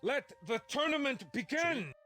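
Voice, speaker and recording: Game king: imperious male, grand, lively swooping delivery, faint music. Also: extremely high pitch, unhurried, heavily acted. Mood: imperious, ceremonial. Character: commanding, theatrical medieval Spanish king.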